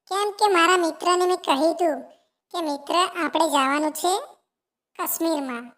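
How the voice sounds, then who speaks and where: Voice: sits high, a bit bright. A performer voicing a doting, worried mother sparrow in a children's cartoon tale, coaxing and repeating fond words. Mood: explaining. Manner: coaxing, repetitive, keeps addressing the child fondly. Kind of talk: animated children's story dialogue.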